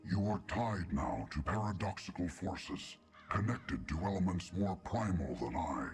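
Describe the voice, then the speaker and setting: An actor voicing an ancient, solemn, all-seeing being in a fantasy game, gravelly and portentous, with deliberate delivery. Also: male voice, low-pitched, expressive. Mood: solemn, foreboding. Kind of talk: scripted fantasy game dialogue. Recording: game audio over background music.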